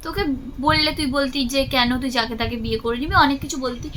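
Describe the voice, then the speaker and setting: A woman's voice: high-pitched, fast talker, pushed and bright. A young adult woman, chatting casually and insistently on the phone as a vlogger. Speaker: vlogger making a prank call. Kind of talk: casual phone chat with a friend. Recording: faint background noise.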